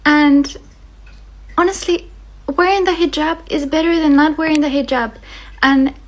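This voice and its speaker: A young woman from Finland, speaking earnestly and with passion to her viewers, with frequent fillers. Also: high-pitched. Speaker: vlogger. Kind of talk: earnest talk to camera.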